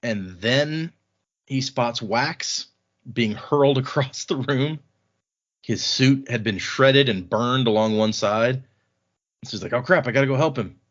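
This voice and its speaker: A man's voice: mid-range voice, measured pace, fairly bright tone, dull audio. A man hosting a podcast, retelling a fantasy novel in casual, colloquial language with wry asides. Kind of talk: casual chat, informal book recap.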